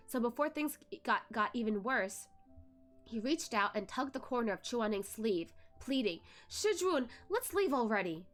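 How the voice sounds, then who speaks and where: A woman streamer reading a web novel aloud, narrating with animated, giggly asides. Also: a little high. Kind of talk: read-aloud narration with live reactions.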